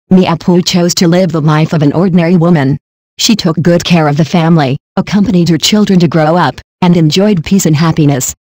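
A narrator reading a scripted celebrity news story in a flat, machine-like delivery. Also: medium pitch, dry recording.

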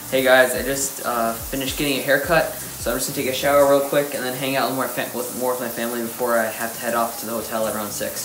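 A man's voice: mid-range voice, flat delivery, quick pace, few pauses, low-quality recording. A teenage American male speaking in a casual vlog style to a handheld camera at arm's length. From United States. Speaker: vlogger, youth soccer player. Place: indoors: home living room, hotel room, busy venue. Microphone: phone or camera at arm's length.

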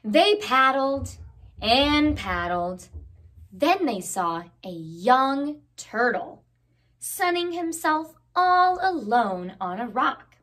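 A woman in her thirties reading a picture book aloud for children, expressively drawing out words. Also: sits high. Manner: expressive, deliberate, drawn-out words. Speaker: children's story time host.

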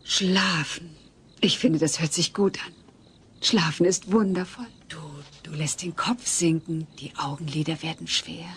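A woman's voice: sits low, fairly even intonation, unhurried, heavily acted. A woman playing a dry, exasperated suburban mother in a German audio drama, with a sarcastic way of talking. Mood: coaxing. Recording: clear background noise.

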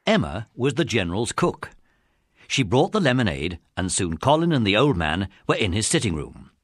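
A man's voice: lowish voice, sing-song, moderate pace, husky, no room sound, studio quality. A man narrating a children's adventure story in a scripted storybook style. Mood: storytelling.

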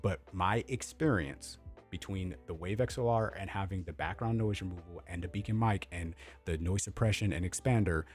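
A man with a deep voice giving a blunt, opinionated gear review at home, close to a studio mic, repeating himself and swearing casually. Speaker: tech content creator. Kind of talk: opinionated product review rant. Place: home streaming room with LED lights. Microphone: studio mic on boom arm, close.

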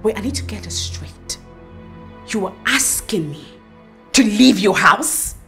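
A woman's voice: medium pitch, expressive, unhurried, fairly bright tone, faint music behind. A woman playing an indignant, entitled young girlfriend, demanding and defiant, in a screen drama. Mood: disbelieving.

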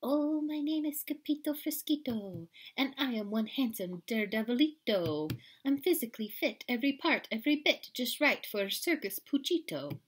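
A woman's voice: high voice, lively intonation, measured pace, few pauses, bright, forceful tone, slight background noise, pitched above the performer's own voice, exaggerated voice. A woman voicing a playful, boastful little boy kitten who puts on a theatrical mock Spanish accent in a storybook. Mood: singing softly.

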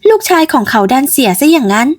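Young village woman, played by a female narrator: high-pitched, cheerful, polite, animated storybook delivery. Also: fast talker. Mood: gossipy, excited. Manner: polite, sweet sentence endings, animated. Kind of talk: storybook character voice.

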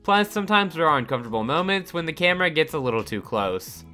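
Lively man narrating a countdown. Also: on the high side, fast. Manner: upbeat, lively countdown delivery. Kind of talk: scripted voice-over narration. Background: soft background music.

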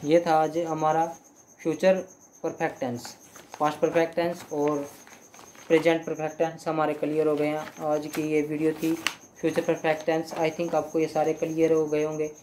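A young man teaching English grammar in Hindi, going slowly through example sentences, repeating them and often checking "clear?". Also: a little high, completely flat delivery, a little mellow, a little hiss or hum, rough recording. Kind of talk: Hindi-language grammar lesson.

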